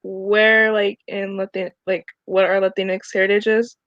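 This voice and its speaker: A young woman of twenty with a medium-pitched voice. She talks casually with her co-hosts over a headset on a video call, and the sound is dry and a bit dull. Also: little pitch movement, measured pace, a bit bright, no room sound. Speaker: co-host of a book live stream. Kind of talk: casual group chat. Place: indoor room at home, on a video call.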